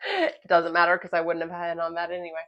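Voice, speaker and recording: A woman's voice: lowish voice, very animated, fast talker, fairly bright tone, lo-fi sound. A woman hosting a craps channel, calling each roll and explaining a betting strategy, her voice a little off from a cold. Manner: casual, explanatory, calls each dice roll. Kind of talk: play-by-play commentary while testing a betting strategy.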